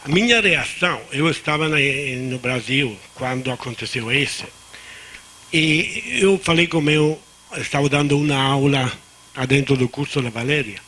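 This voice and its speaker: A man's voice: mid-range voice, normal speed, pushed and bright, slightly rough. A man with an Italian accent, a native Italian speaker, giving unscripted remarks as a discussant on a conference panel, often restarting words and slipping into Spanish. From Italy.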